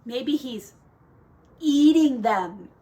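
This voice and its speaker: A woman's voice: sits high, lively intonation, extremely slow, warm tone, recorded from a distance, exaggerated voice. A woman voicing squabbling, bossy young piglets in a children's storybook, in short exclamations. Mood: grumbling.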